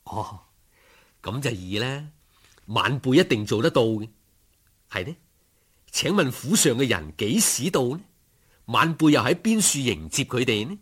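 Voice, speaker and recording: A man's voice: medium pitch, big pitch swings, measured pace, long pauses, breathy edge. A man playing a humble, earnest young scholar in a told folk story, speaking formally and respectfully. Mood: deferential. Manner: formal, respectful, self-deprecating. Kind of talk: storybook character acting.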